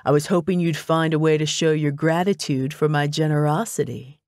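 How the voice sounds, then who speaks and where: A woman voicing a smug, leering young ex-boyfriend in an audiobook, with a slow, oily drawl. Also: low-pitched, fairly even intonation, a little mellow, no room sound, studio quality, close to an everyday voice.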